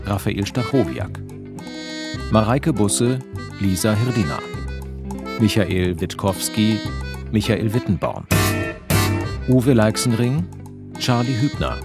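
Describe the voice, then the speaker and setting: A man reading scripted radio play credits as an announcer. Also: on the low side. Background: plucked guitar music.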